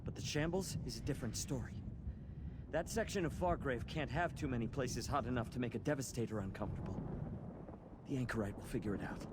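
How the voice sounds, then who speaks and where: A man plays a disgruntled male cult initiate in a fantasy video game, wary and exasperated, with a mid-pitched voice. He grumbles his secrets like someone anxious to get out. Clear background ambience runs under the voice. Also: a little fast, very noisy, natural voice. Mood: wary, urgent.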